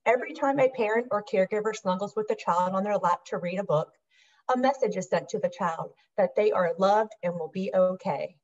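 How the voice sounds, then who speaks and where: A woman's voice: medium pitch, brisk, a little mellow. A woman in her thirties speaking as a program host, in a scripted style, to camera on a video call. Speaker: program manager hosting an introduction. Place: small room indoors at home. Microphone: computer microphone on video call. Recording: muffled video call audio.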